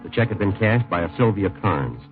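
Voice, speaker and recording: A tinny vintage radio recording with music behind it carries a male police detective. He talks fast and clipped, in a deep, matter-of-fact deadpan, all business. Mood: deadpan, factual. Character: terse, businesslike male police sergeant detective narrating his case. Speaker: detective and narrator. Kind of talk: 1950s radio crime drama acting and narration.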